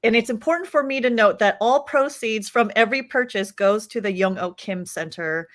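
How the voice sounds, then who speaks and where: A woman's voice: on the high side, normal speed. Woman hosting a live book talk. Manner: clear, organized, welcoming. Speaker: host and co-director.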